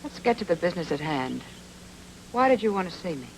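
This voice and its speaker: An actress playing a self-possessed, teasing noir femme fatale in a radio drama, with sly, clipped banter. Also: female voice, fairly low pitch, big pitch swings, brisk, slight background noise, low-quality recording. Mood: cool, flirtatious.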